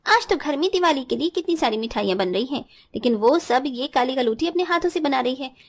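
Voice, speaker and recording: A woman acts out a spiteful college-age sister-in-law from a cartoon tale. Her voice sits very high and young. She is haughty, bossy and quick to snap with scorn. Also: expressive. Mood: resentful. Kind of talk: Hindi cartoon story dialogue.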